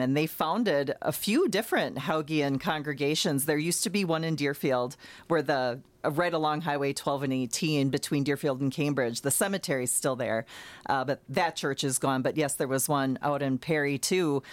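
A woman explaining history in a measured, knowledgeable way on a call-in radio show. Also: low-pitched, no echo. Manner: knowledgeable, measured, self-qualifying.

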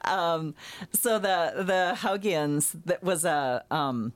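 A woman explaining history in a measured, knowledgeable way on a call-in radio show. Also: fairly low pitch, animated, no echo. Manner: knowledgeable, measured, self-qualifying.

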